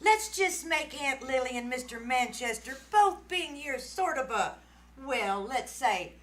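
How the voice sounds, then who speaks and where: Woman playing a high-pitched, coaxing radio mother. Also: lively intonation, unhurried. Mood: bossy. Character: managing, soothing mother and housewife Dora. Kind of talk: 1940s radio comedy acting before live audience. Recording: noisy live stage recording.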